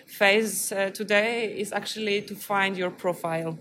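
A woman's voice: medium pitch, steady tone, measured pace, a bit bright. A woman from Poland with a Polish accent, answering interview questions as a festival programmer in a hesitant, thoughtful way. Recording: clearly noisy background ambience.

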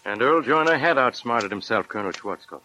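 A police superintendent giving scripted testimony on 1940s radio. His tone is bright and firm. The old recording carries faint noise. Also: medium pitch, expressive, brisk, low-quality recording. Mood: matter-of-fact. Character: police superintendent recounting a closed case.

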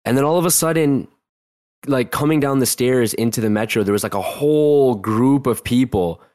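A man's voice: mid-range voice, moderate pace, darkish tone, no echo, studio quality. A man in his twenties, a guest telling a personal story in a casual interview, rambling with lots of restarts. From Canada. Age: 20s. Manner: rambling, heavy use of "like", frequent restarts.

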